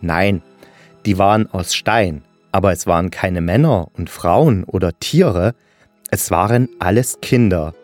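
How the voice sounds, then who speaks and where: A man's voice: low voice, a little slow, no echo. Casting: male storyteller for kids' audio play, patient and explanatory, faint music behind. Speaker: narrator. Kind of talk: children's fairy-tale storytelling.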